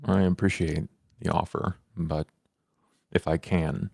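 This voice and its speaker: A man playing a tabletop game, casually roleplaying in a terse, deadpan manner. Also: sits low, slow, gravelly, slightly rough, no echo.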